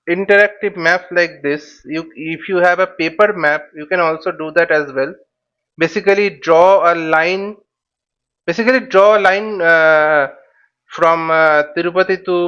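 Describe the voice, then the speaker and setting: A man giving an informal tutorial in a halting, step-by-step way, repeating phrases and checking with short questions. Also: high-pitched, fairly bright tone, clean tone, lo-fi sound. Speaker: flight simulation instructor and presenter.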